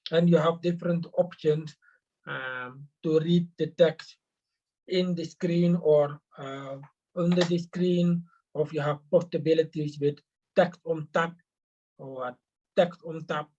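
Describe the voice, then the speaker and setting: A man answering interview questions in a halting way, with many fillers and restarts. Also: a little high, fairly even intonation, a little mellow. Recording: dull lo-fi recording.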